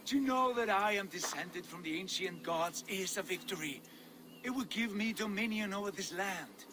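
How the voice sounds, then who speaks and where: A man's voice: high voice, normal speed, lightly acted. An actor playing an imperious Viking lord convinced of his own divinity, with a grandiose, commanding manner in a dramatic video game. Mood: lofty. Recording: clear ambient noise behind.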